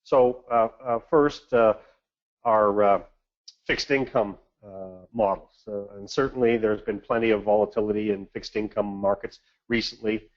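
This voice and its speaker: A man giving a measured, businesslike market briefing as an investment manager on a conference call, speaking in a halting, hesitant way. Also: fairly low pitch, steady tone. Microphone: conference call line. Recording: dull, band-limited conference call audio.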